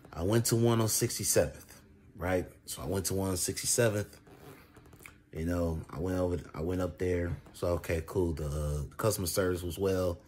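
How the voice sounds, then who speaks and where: A young American man chatting casually in a vlog, telling a story with repetitive, emphatic slang and a conversational delivery. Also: low voice. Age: young adult. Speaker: food reviewer. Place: indoors, reclining on a couch. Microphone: phone at arm's length. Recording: clear background noise, home phone recording.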